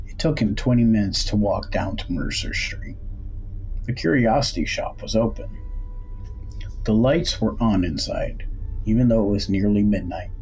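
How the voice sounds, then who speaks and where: A man's voice: lowish voice, darkish tone. A man reading a scripted horror story as an audiobook narrator. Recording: dull, noisy home recording.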